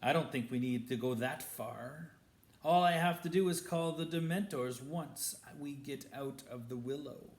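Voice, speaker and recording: A man's voice: medium pitch, unhurried, close to an everyday voice. A man doing a silky, sneering wizard professor. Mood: menacing. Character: cold, spiteful male wizard professor. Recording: roomy echo.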